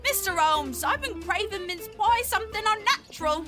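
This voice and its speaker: An anxious young wife in a period audio drama. Her voice is extremely high and fretful, with colloquial phrasing and music clearly underneath. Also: lively intonation, moderate pace. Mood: alarmed.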